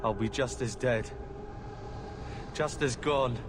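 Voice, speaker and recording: A man's voice: mid-range voice, slow, over music, close to an everyday voice. An actor playing an ailing, bitter young man in a video game, weak and despairing as he talks. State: weak and sickly. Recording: noisy game ambience.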